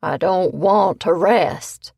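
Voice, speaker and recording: A woman's voice: on the low side, takes it slowly, gravelly, darkish tone, husky, no room sound, full character voice. Woman doing a cranky grumbling old lady. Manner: grumbling, petulant.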